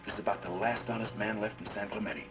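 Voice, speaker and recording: An actor playing a tough outlaw who talks tersely and gives orders, in a 1940s radio drama. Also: male voice, lowish voice, steady tone, fast talker, background noise, natural voice. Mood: grim. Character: tough outlaw gunman, adult man, blunt. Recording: dull old radio, heard from a distance, music behind.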